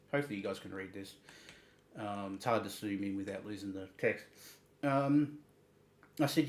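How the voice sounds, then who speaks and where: A man hosting a livestream, reading messages and commenting in a halting, emphatic way, repeating words. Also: mid-range voice. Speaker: livestream host. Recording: roomy, echoing sound.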